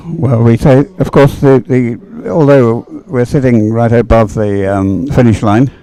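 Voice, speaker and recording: A man giving live, unscripted sports commentary as a race commentator, with a halting, rambling delivery full of hesitation. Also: mid-range voice, warm tone.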